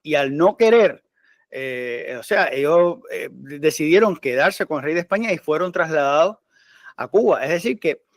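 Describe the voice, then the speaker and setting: Halting explainer, man with higher voice. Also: animated, no echo, clean studio sound. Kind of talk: informal history discussion.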